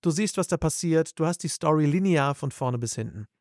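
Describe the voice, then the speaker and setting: Gravelly-voiced guy chatting about dubbing work, lots of ums, dry close mic. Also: on the high side, fairly quick, dry recording. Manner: animated, thinks aloud with many fillers. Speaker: voice actor and dubbing director. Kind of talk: casual podcast interview.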